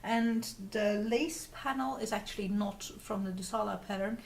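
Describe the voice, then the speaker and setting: A woman from the Netherlands with a Dutch accent, hosting a casual craft vlog in a halting, rambling way while getting over a cold. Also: mid-range voice, creaky. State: recovering from a cold. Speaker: podcast host. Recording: distant mic with faint noise.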